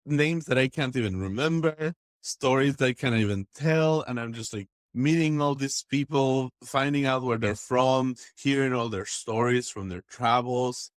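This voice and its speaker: A man's voice: medium pitch, moderate pace, few pauses, dry recording. Enthusiastic man telling stories, dry sound. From Texas. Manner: enthusiastic, expressive storyteller. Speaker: podcast guest. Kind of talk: casual interview storytelling.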